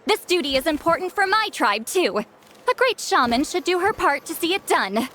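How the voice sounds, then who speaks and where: A woman's voice: extremely high pitch, sing-song, normal speed, fairly bright tone, slight background noise, heavily acted. A woman voicing a proud, prickly great shaman in a video game, haughty, defensive and theatrical. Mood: flustered, defensive.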